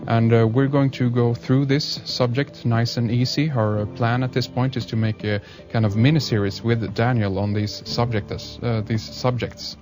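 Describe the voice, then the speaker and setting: A man hosting a radio show, introducing and interviewing a guest in a slow, hesitant way with many uhs. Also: mid-range voice, steady tone. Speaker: radio host. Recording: music bed behind voice.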